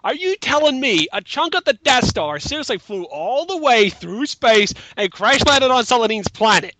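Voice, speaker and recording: A man's voice: very high voice, big pitch swings, moderate pace, background noise. A man giving casual critical commentary, sarcastic and incredulous. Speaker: panelist in a group mocking a written bio.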